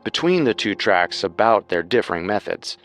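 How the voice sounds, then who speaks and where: A man's voice: fairly low pitch, big pitch swings, normal speed, fairly bright tone. A man hosting a podcast with scripted true-crime narration. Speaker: podcast co-host.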